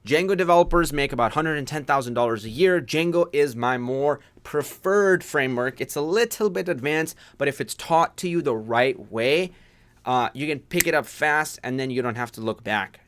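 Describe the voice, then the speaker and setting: A man in his twenties from Pakistan, hosting a casual programming livestream, chatty and quick to laugh. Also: medium pitch, a bit bright. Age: 20s. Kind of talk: casual livestream chat and coding tips.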